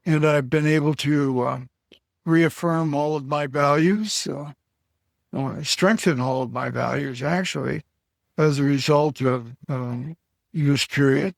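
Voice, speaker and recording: An older man from Alaska answering interview questions slowly, pausing often with fillers and short of breath. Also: fairly high pitch, vocal fry, dry recording. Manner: halting, with many pauses and fillers. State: short of breath, on supplemental oxygen. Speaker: guest. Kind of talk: slow reflective interview answers.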